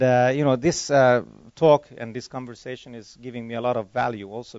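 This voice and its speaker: A man's voice: mid-range voice, dry recording. Guy fielding questions at a conference, rambly, keeps fixing his words, dry dull lo-fi sound. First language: Hungarian. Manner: chatty, rambling, self-correcting. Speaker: conference speaker. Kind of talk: conference Q&A answers.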